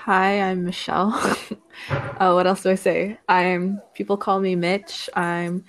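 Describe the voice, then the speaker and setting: A woman's voice: on the low side, flat delivery, measured pace. Young woman, twenties, university student guest, playful, giggly, lots of fillers. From Canada. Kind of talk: casual chat.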